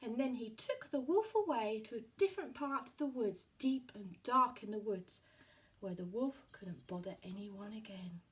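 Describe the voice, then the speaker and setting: Female children's storyteller for a puppet show: high voice, very lively intonation, simple child-directed phrasing. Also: moderate pace.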